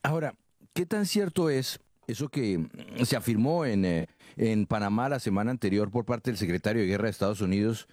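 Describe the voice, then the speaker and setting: A man's voice: lowish voice, animated, a little fast, darkish tone, dry recording, studio quality. A man, an interviewer putting a long formal question, somewhat hesitant as he feels for words.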